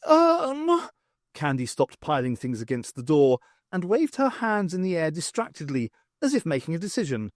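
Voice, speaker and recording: A man narrating scripted fiction for an audiobook in an expressive, theatrical storytelling manner. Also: fairly high pitch, a little fast, dry recording, clean studio sound.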